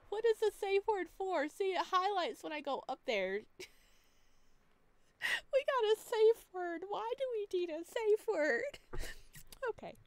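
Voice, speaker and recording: A woman reading a visual novel aloud, switching between calm narration and animated, giggly asides to her viewers. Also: sits high. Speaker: let's play video creator.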